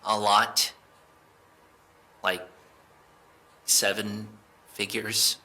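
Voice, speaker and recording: An actor playing a defensive young man in an audio drama, halting and sweary, insisting he is innocent. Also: lowish voice, level tone, sharp tone, exaggerated voice. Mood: subdued, reluctant. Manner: halting, sweary, emotional delivery.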